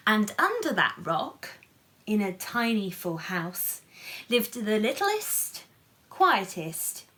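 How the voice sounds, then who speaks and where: A woman reading a picture book to children in an animated, playful way, with chatty asides and questions to her listeners. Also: mid-range voice. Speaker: children's storybook reader.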